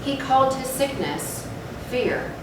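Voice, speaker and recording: A woman giving a measured, solemn dramatic narration of a gothic story in a stage reading. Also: high-pitched, expressive. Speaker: narrator in a readers' theatre. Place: live theatre stage, recorded from afar. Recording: very echoey, distant, noisy hall.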